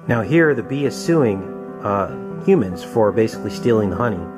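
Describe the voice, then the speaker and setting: Male host, lowish register, earnest explainer narrating film clips, loud music bed underneath. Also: animated, normal speed. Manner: earnest, addresses listeners as "you guys". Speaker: host and narrator of conspiracy videos. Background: loud background music.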